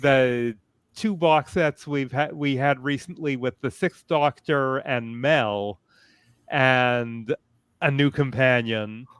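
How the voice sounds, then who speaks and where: A man speaking as a panelist in an informal online fan discussion, explaining things in a measured, informative way. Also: medium pitch, fairly bright tone, clear, resonant tone, dry recording, clean studio sound. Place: indoors, online panel call.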